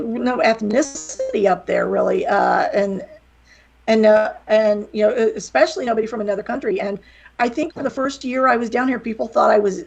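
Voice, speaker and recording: A middle-aged woman from West Virginia speaks with a slight Southern accent, her voice pitched a little high with a gravelly fry. She talks candidly, wandering through her stories with self-deprecating jokes. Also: highs cut off. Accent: slight Southern American. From West Virginia, USA. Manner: candid, rambling, jokey. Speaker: guest, wrestling promoter and author. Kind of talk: casual podcast interview.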